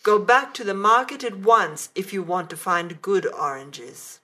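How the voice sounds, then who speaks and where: Woman reading a grumpy selfish husband, bossy and scornful, slow bright voice. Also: mid-range voice. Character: grumpy, selfish middle-aged husband, demanding.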